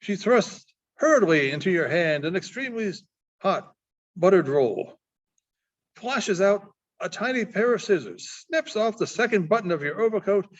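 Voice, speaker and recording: A man narrates short stories as a librarian at a reading. His voice sits high and moves through a wide, lively range. The recording is slightly dull and lo-fi. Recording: dull, muffled lo-fi sound.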